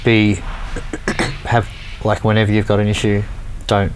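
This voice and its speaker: A man's voice: lowish voice, completely flat delivery, unhurried, slight background noise. A man in casual conversation who rambles through opinions, stumbling and restarting often. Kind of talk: casual chat.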